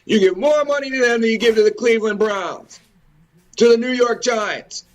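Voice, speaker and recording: A man's voice: extremely high pitch, moderate pace. A man hosting an informal, impassioned commentary, ranting with repetition, rhetorical questions and swearing. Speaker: host of a religious and historical commentary show.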